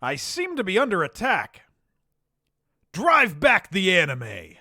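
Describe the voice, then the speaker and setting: A man voicing a bombastic army commander who barks orders with theatrical swings between calm and yelling. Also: sits high, takes it slowly, no echo, studio quality, heavily acted. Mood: commanding. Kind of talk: comedic game-character voice lines.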